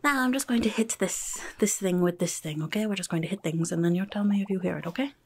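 A woman's voice: medium pitch, quick pace, a little mellow, a little hiss or hum, natural voice. A young woman playing a rude, chaotic goblin nurse in a whispered ASMR roleplay, fussy and blunt, repeating words close to the microphone. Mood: brisk, distracted. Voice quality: breathy whisper.

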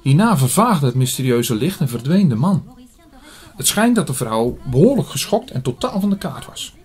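A man playing an earnest priest in an audio drama, telling his story in a measured, formal way. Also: on the high side, sing-song, a little mellow, husky. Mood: earnest, explaining. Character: earnest male priest investigating an apocalyptic prophecy. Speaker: narrator and lead actor. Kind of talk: scripted audio drama, first-person narration. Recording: music under the voice.

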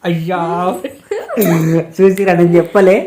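A man's voice: high voice, little pitch movement, measured pace, noisy background. A man teasing his sister on a speakerphone prank call, insistent and playful. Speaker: prankster host. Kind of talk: casual prank call banter.

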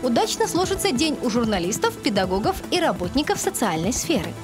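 A woman reading a scripted horoscope voice-over in a bright, sing-song manner, over music. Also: fairly high pitch, very animated, brisk. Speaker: horoscope announcer. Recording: studio voice-over over loud music. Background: loud music.

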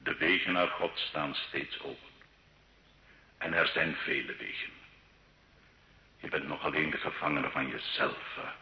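An actor playing an earnest, fatherly priest, speaking in solemn, measured exhortation in a radio drama. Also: a bass voice, fairly even intonation. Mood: urgent, exhorting. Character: priest, earnest fatherly confessor urging a sinner to repent. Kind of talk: theatrical radio drama acting. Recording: dull old radio recording, roomy echo.

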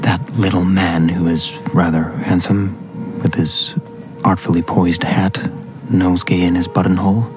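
A man playing the hushed, intense inner thoughts of a jealous young gentleman in a radio drama. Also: sits low, flat delivery, moderate pace, close to an everyday voice. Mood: disdainful. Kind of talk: radio drama interior monologue. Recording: loud music under the voice.

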